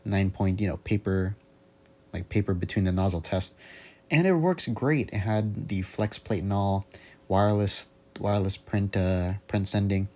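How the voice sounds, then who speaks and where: A young man with a low-pitched voice talks off the cuff in a casual audio diary. He rambles along haltingly, often searching for the right word. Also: darkish tone. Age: young adult. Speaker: hobbyist vlogger. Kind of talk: casual unscripted audio log.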